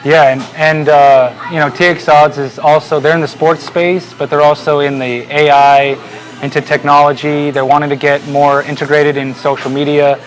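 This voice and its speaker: Man, team owner, higher-pitched, relaxed, faint music. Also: moderate pace, rough recording. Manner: relaxed, filler-heavy presenting. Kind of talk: promotional sponsor segment.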